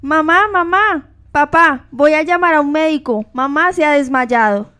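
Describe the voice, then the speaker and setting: A woman's voice: sits high, measured pace, sharp tone. A woman playing a caring, anxious young sister in an amateur radio play. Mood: alarmed.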